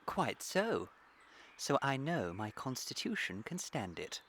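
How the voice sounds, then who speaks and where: An actor playing a flippant, languid young English gentleman in a comic period radio play, with a pompous, unruffled manner. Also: medium pitch, very animated, slow, full character voice. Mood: smugly argumentative. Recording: clear background ambient noise.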